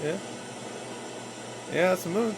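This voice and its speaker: A man's voice: high voice, takes it slowly. A man giving casual, jokey reaction commentary with slangy swearing as he watches a video. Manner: profane, slangy, jokey asides. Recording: clear background noise.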